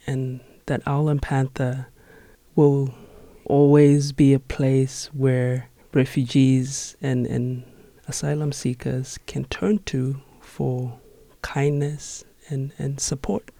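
A man in his thirties from Zimbabwe, talking in a radio studio. He speaks earnestly and reflectively, taking his time. His voice is warm and mellow, and the sound is close and dry. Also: mid-range voice, flat delivery, slow, creaky, dry recording. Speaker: interview guest, nonprofit managing director. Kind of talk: studio interview.

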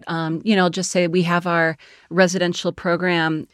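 A woman from Phoenix, Arizona, a service manager, speaking relaxed and conversational in a radio interview. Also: on the low side, normal speed, dry recording, clean studio sound.

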